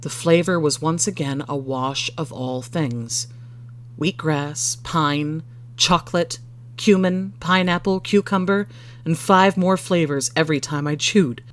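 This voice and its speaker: A storyteller reading a first-person short story in a measured, expressive way. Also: mid-range voice, slight background noise.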